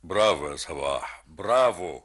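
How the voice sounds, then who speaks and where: An actor with an Egyptian accent playing a commanding spy ring leader who snaps orders. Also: male voice, low voice, lively intonation, moderate pace, a bit bright. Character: bossy male spy ring leader, middle-aged, cunning. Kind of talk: 1960s Egyptian radio drama acting.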